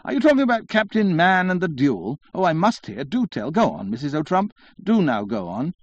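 A man voicing a nosy, insistent society woman who butts in loudly and eagerly. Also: medium pitch, expressive, brisk, dry recording, muffled recording, close to an everyday voice. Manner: screaming, bawling, breathlessly eager.

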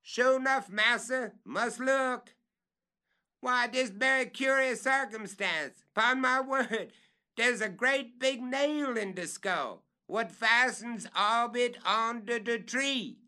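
A man voicing a high-calling servant up a tree. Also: very animated, moderate pace, a bit bright. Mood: calling, wary. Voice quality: high, strained, thick rural dialect. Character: old male servant calling down from a treetop.

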